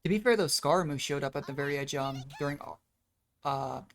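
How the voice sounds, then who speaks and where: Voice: mid-range voice, a little fast, a little hiss or hum. A game streamer giving casual, chatty live commentary, musing aloud while playing. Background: faint game music.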